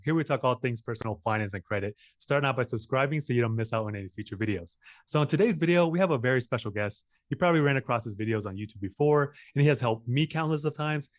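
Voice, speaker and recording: Man, host, casual interview, filler-heavy questions, dry recording, mid-low pitch. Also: no room sound.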